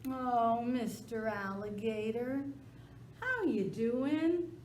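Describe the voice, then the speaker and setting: A woman voicing a sly, sassy old male dog who whines and howls in a high, pleading voice. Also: lively intonation, very slow, a little mellow, echoey room, a little hiss or hum. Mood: whining. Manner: whining, howling, high and pleading.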